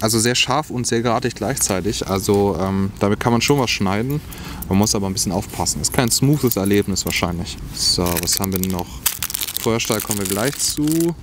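A man's voice: on the low side, a little hiss or hum. A man casually reviewing a cheap survival kit outdoors, musing aloud as he tries each item. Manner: musing, talks while handling items. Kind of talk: casual hands-on product review. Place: outdoors on grass by a log. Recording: music playing underneath.

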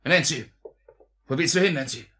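An actor playing a brutal, suspicious burglar in a 1950s German radio play, gruff and domineering with a rough voice. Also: male voice, on the high side, moderate pace, bright, forceful tone, slight background noise. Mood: angry. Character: brutal, suspicious, hot-tempered male burglar thug.

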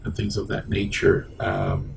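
A man's voice: sits low, sing-song, unhurried, gravelly, recorded from a distance, a little hiss or hum, rough recording. A man in his sixties, born in Egypt with Arabic as his first language, recalling his past hesitantly with many ums in a small office interview. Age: 60s. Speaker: interviewee, engineering professor. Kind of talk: oral history interview. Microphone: lapel mic.

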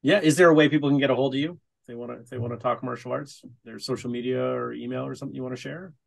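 A middle-aged man hosting a podcast interview over a video call, relaxed and brief. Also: medium pitch, very fast, vocal fry. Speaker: podcast host. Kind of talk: casual interview. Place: home room.

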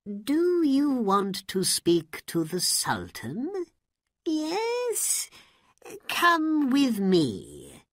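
A woman voicing a scheming male vizier who speaks in a hushed, persuasive way in a storybook reading. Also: fairly high pitch, huge pitch swings, extremely slow, no room sound, studio quality, pitched above the performer's own voice, full character voice. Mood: sly.

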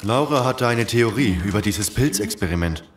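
Actor as disheartened male survivor, low pitch, sober, audio drama, roomy echo. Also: animated, moderate pace, close to an everyday voice. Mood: questioning. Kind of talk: German audio drama acting. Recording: echoey space, faint noise.

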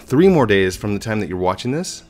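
A man in his thirties casually narrating a video game as he plays, chatty and rambling, a little hoarse and congested from a cold, on a headset mic at home. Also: fairly low pitch, big pitch swings, fairly quick, darkish tone, soft background music. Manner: chatty, rambling, with frequent fillers. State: slightly hoarse with a head cold. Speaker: gaming channel host. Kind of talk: casual let's play commentary.

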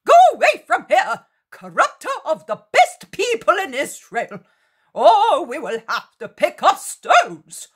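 A woman's voice: high voice, huge pitch swings, slow, voice pushed up, full character voice. A woman playing a hostile, jeering man from an angry mob in a religious story, mocking and scornful. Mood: jeering, furious.

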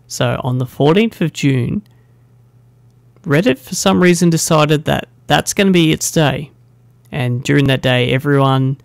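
A man's voice: medium pitch, gravelly, no room sound. A young man, a meetup organiser chatting casually to camera in a self-deprecating way. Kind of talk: casual vlog announcement.

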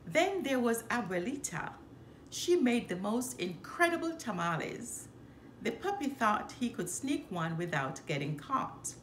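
Female storyteller for a picture-book read-aloud: higher-pitched, expressive, recorded in an echoey living room. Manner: careful, expressive reading with deliberate phrasing. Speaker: storyteller, channel host. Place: indoor living room by a fireplace. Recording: roomy echo, some background noise.

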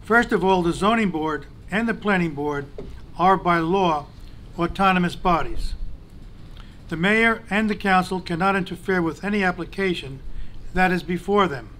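A man's voice: sits high, fairly bright tone, slight background noise, low-quality recording. A man reading a prepared, pointed statement at a council meeting in a large chamber, into a desk microphone. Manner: measured, pointed criticism, formal. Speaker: council president.

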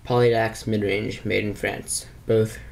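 A man with a fairly low, gravelly voice talks casually and haltingly, like an unscripted hobby vlog. His speech has plenty of vocal fry and pauses. A faint layer of noise sits behind him on the camera microphone. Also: level tone, darkish tone. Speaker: hobbyist vlogger showing vintage speakers. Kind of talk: casual, unscripted show-and-tell. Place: small indoor room at a workbench. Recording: noisy camera mic, audible background noise.

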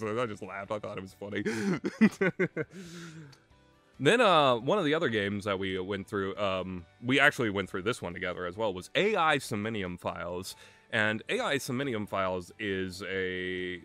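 A young man giving a casual, rambling game review in a halting way, often chuckling as he goes. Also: lowish voice, a bit bright. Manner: hesitant, halting, often chuckling mid-sentence.

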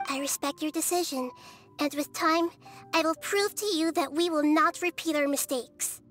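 High-voiced, gentle child goddess. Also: female voice, normal speed, faint music behind. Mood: earnest, composed. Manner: calm, earnest, carefully articulated. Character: gentle, wise, childlike little goddess girl. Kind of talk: scripted video game dialogue.

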